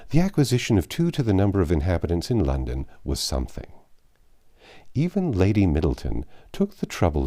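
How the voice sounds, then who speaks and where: Male narrator, deep and mellow, expressive measured audiobook reading. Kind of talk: reading a classic novel aloud.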